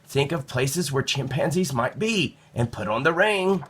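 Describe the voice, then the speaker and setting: Man voicing a brisk, knowing woman librarian. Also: fairly high pitch, animated, a bit bright, breathy edge, pitched above the performer's own voice, full character voice. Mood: instructing. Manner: instructive, matter-of-fact. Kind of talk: children's storybook read-aloud.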